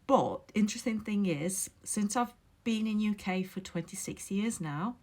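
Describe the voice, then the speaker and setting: A middle-aged woman from Latvia, whose first language is Latvian, speaks English with a Latvian accent touched by Derbyshire English. She talks casually from home and pauses often to choose her words. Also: mid-range voice, darkish tone. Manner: thoughtful, many pauses and fillers, careful phrasing. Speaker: memoir author and vlogger. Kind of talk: casual reflective monologue to camera. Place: home, indoors.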